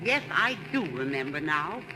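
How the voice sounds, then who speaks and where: A woman's voice: low voice, very animated, normal speed. A woman playing a chatty, marvelling mother sightseeing in a 1930s radio advertisement. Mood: pleasantly surprised. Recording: noisy 1930s radio recording, vehicle sounds.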